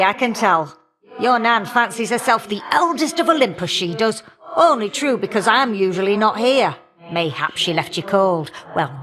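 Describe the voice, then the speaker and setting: An actress voicing a warm, feisty old great-aunt fire goddess for a video game, with folksy, teasing, old-fashioned talk. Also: female voice, fairly high pitch. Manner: folksy, teasing, old-fashioned turns of phrase, calling listeners dearie. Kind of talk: video game character acting.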